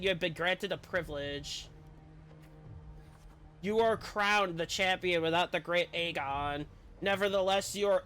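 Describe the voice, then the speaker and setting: A man with a high-pitched, bright voice plays through a story game for viewers. He reads and comments as a streamer would, with faint game music behind him. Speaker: game streamer reading quest dialogue aloud and reacting. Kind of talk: let's-play commentary with read-aloud narration.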